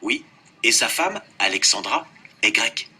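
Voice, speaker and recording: A man's voice: mid-range voice, big pitch swings, a little slow, bright, forceful tone, breathy edge, full character voice. A man voicing an adult man answering simple questions in a scripted textbook dialogue, plain and matter-of-fact.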